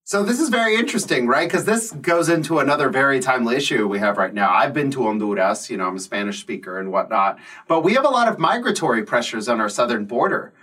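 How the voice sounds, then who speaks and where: A man's voice: mid-range voice, big pitch swings, quick pace, bright, forceful tone, slightly rough, far from the mic. A man hosting an interview, raising points thoughtfully and asking questions. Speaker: interview host. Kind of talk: interview conversation.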